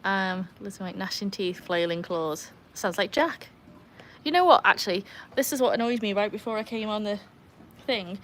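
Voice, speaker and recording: A woman's voice: mid-range voice, normal speed, a bit bright. Chatty woman with a mixed Welsh accent. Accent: mixed Welsh and English. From Wales. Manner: chatty, reads chat comments aloud, laughs easily. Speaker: livestream host. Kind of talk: casual livestream chat. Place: outdoors by a pool.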